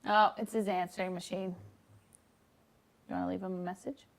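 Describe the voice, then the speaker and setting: A woman's voice: on the low side, flat delivery, moderate pace, creaky. A woman speaking as a parent, giving casual, supportive prompts. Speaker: parent of a child in coaching.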